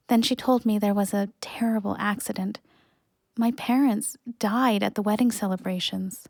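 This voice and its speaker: Imprisoned student, audio drama: wistful, quiet storyteller, medium pitch, dry sound. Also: female voice, gravelly, no room sound. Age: young adult. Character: grieving young Canadian student in prison. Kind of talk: audio play monologue.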